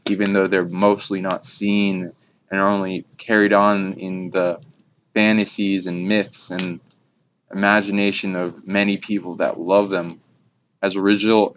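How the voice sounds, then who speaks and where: A man with a very low voice talks in long, wandering sentences. His delivery stays quite monotone, in the style of an informal spiritual talk. The recording is muffled and lo-fi. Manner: rambling, long run-on monologue. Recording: dull lo-fi recording with background noise.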